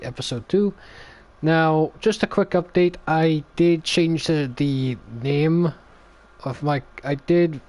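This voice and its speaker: Guy in his 30s doing a chill game stream intro, voice a bit on the high side, kinda halting, headset mic. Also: darkish tone. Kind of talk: casual stream intro chat.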